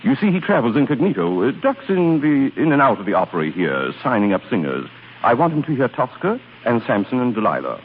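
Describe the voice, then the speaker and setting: Condescending upper-class philanderer, vintage radio melodrama, wildly swinging intonation, thin old-broadcast sound. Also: male voice, mid-range voice, sing-song, a little fast. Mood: businesslike, evasive. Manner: suave, condescending, theatrical. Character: smooth, evasive aristocratic philandering suitor. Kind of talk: 1930s radio acting. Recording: muffled, noisy 1930s radio recording.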